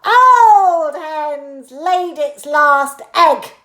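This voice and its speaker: A woman's voice: high voice, expressive, extremely slow, a bit bright, roomy sound, pitched above the performer's own voice, exaggerated voice. A woman voicing a bossy, shrieking old grandmother in a storybook reading. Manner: screeching, scolding.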